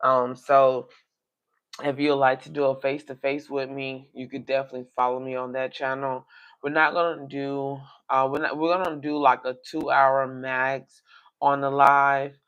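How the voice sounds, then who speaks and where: A slightly raspy man hosts a casual livestream, rambling on in a flat, even tone. He speaks straight to his viewers. The sound is dull and lo-fi. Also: mid-range voice, moderate pace. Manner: rambling, talks directly to viewers. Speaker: coin collecting livestream host.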